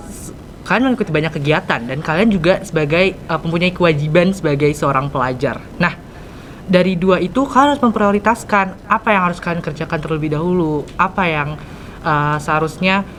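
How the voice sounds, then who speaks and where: A man's voice: on the high side, a bit bright, slight background noise. A teenage boy hosting a casual school podcast, chatty and full of slang and fillers. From Indonesia. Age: teens. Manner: slang-heavy, many fillers. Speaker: student podcast host.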